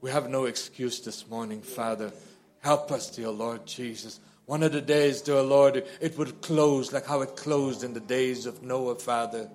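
A man's voice: mid-range voice, fairly even intonation, unhurried. A man leading a congregation in fervent, spontaneous prayer, pleading in short repeated phrases that swell and subside. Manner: repetitive, pleading, short phrases punctuated with addresses to God; swells from hushed to loud and impassioned. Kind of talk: fervent extemporaneous church prayer.

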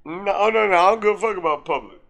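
A man's voice: sits high, very fast, creaky, a bit bright. An American man co-hosting a podcast in casual banter, slangy and profane, repeating himself for emphasis. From United States. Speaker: podcast co-host.

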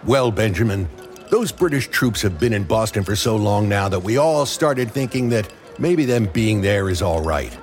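A man's voice: fairly low pitch, animated, faint music behind, natural voice. An actor playing a fiery male pamphleteer who speaks with passion. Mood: impassioned. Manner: starts halting, builds to impassioned.

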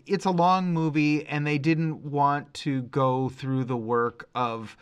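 A man's voice: a little high, fairly even intonation, slow, clean tone. A man co-hosting a podcast, giving matter-of-fact opinions in a casual discussion of film. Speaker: podcast co-host.

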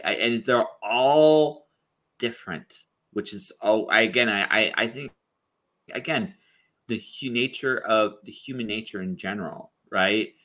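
A man's voice: fairly low pitch, bright, forceful tone. A man hosting a podcast over a video call, talking casually and rambling, often restarting his sentences. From Ontario, Canada. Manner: rambling, lots of restarts and repeated words. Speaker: podcast host. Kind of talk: casual conversation.

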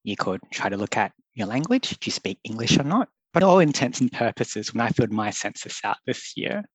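An earnest young man talks through his ideas with loose, thoughtful phrasing and the odd laugh. His voice sits at a middling pitch. The recording is dry and close, with no room sound. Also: very animated, brisk, breathy edge, dry recording, low-quality recording. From Australia. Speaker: public health doctor, guest. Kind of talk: conversational podcast interview.

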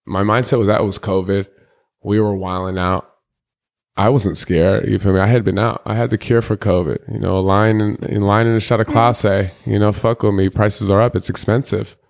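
A young man with a deep voice, talking loosely in slang as an interview guest, rambling through candid stories. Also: fairly even intonation, normal speed, creaky. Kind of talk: casual candid interview talk.

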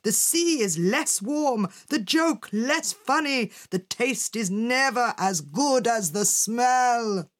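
A woman's voice: a little high, expressive, takes it slowly, hardly any pauses. A woman voicing a gloomy, pessimistic male philosopher in a children's storybook, crying out warnings in a declamatory way. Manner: lamenting, declamatory.

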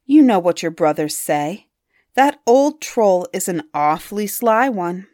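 Anxious storybook father, cautious and earnest, voiced by a woman, unhurried. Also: mid-range voice, expressive, dark tone, dry recording, studio quality, lightly acted. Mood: pleading. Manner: earnest pleading. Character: worried older father, cautious.

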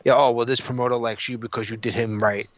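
A man co-hosting a podcast, talking casually and opinionatedly, repeating himself as he retells things in an animated way. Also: mid-range voice, fast, dry recording. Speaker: podcast co-host. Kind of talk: casual opinionated panel chat. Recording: dull lo-fi audio.

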